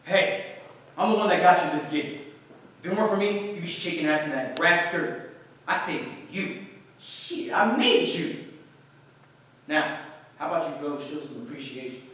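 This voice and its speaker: A man in a staged play reading playing a pushy, sleazy nightclub manager who coaxes and bullies in smooth slang. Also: a little high, lively intonation, normal speed, pauses often, fairly bright tone. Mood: pushy, menacing. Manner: slangy, coaxing and bullying. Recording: distant, very echoey room, faint noise.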